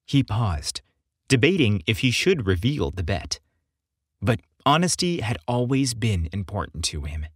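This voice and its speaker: A man's voice: fairly low pitch, sing-song, unhurried, husky, dry recording, studio quality. A man narrating a scripted story as an audiobook reader.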